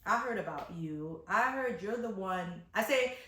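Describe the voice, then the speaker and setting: A woman's voice: medium pitch, animated, unhurried, fairly bright tone. Chatty woman storyteller in an echoey room. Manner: acts out dialogue with exclamations and rhetorical questions; chatty and slangy, addressing viewers directly. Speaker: vlogger telling a personal story. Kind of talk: casual, animated personal storytelling.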